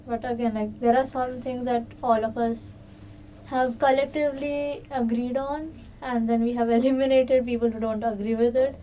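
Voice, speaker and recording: High, mellow woman, slightly distant. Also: fairly even intonation, normal speed. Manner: questioning, reasoning aloud. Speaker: participant in an unscripted discussion. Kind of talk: casual chat. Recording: distant mic with background noise.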